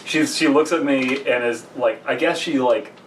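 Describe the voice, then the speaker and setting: A man co-hosting a podcast, telling rambling casual anecdotes and repeating himself haltingly. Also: medium pitch, distant mic, poor audio. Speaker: podcast co-host. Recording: slightly off-mic with faint noise.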